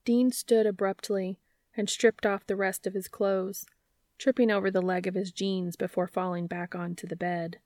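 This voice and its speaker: A woman's voice: mid-range voice, little pitch movement, moderate pace, a little mellow, dry recording. A woman narrating scripted fiction as an audiobook reader.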